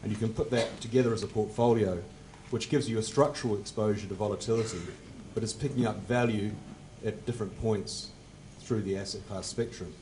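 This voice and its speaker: A man in his forties answering on a conference panel at a table mic, measured and technical, his phrases fading at the ends. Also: lowish voice, fairly even intonation, a little mellow, slight background noise. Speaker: panelist, fund manager. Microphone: table mic on stand.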